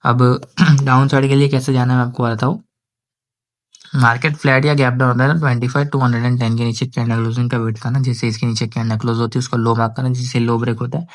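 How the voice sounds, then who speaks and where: A man walks viewers through his next-day trading plan in a casual, conversational way, often adding "theek hai". He has a sore throat and speaks slowly because of it. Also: medium pitch, level tone. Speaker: stock market analyst.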